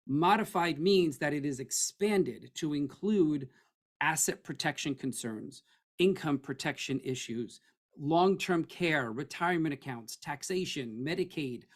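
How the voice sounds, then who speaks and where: A middle-aged man with a hoarse, froggy voice, presenting an estate planning webinar in a conversational way that keeps checking in with "okay?". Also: on the high side. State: hoarse, losing his voice.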